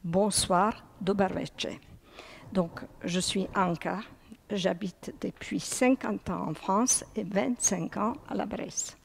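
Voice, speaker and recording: A woman's voice: medium pitch, fairly even intonation, slow pace, vocal fry, husky. An older woman with a Serbo-Croatian accent, carefully reading a public talk as a presenter. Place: public hall.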